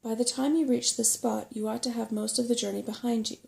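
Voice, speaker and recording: A woman's voice: on the high side, steady tone, fairly quick, creaky, darkish tone. A woman voicing a fussy, chatty, know-it-all old male rat in a storybook reading, with emphatic delivery. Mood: brisk, knowing.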